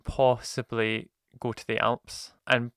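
A man from the UK speaking as a guest in a casual conversation, measured and thoughtful. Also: mid-range voice, level tone, creaky, fairly bright tone, no room sound, clean studio sound. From United Kingdom.

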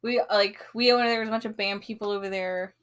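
A young woman telling a friend about a past night out. She rambles, stops to restart, fills gaps with fillers and laughs easily. The pitch sits in a middle range. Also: steady tone, fairly quick, fairly bright tone, clean tone. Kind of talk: casual chat.

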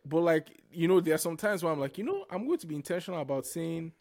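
A man's voice: on the high side, very animated, quick pace, gravelly, darkish tone, no echo. A young man with a Nigerian accent chats on a podcast about his own life. His voice sits in a middle range and sounds dry and close to the mic. He circles his thoughts, restarting words as he goes. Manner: reflective, repeats and restarts words. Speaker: podcast co-host. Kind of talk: casual faith-based podcast chat.

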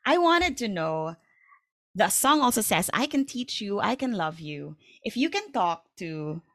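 A woman's voice: medium pitch, big pitch swings, unhurried, fairly bright tone, no room sound. Filipino-accented woman hosting, close dry sound. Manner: encouraging, affirming. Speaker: podcast host. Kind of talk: casual conversational interviewing.

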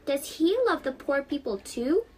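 A woman's voice: high-pitched, expressive, unhurried, pitched above the performer's own voice. A teenage girl voicing a poor young flower seller in a storybook, humble and full of curious questions. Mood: humble, wondering.

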